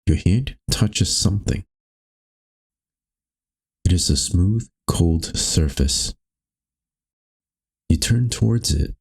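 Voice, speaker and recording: A man reading scripted second-person narration for a dark existential story in a solemn, measured, brooding manner. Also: low-pitched, animated, creaky, breathy edge.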